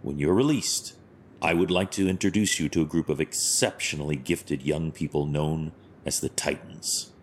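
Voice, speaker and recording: An actor playing a stern but compassionate masked vigilante hero in an audio drama, speaking in a measured, formal, solemn way. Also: male voice, extremely low pitch, steady tone, slight background noise. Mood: gently reassuring.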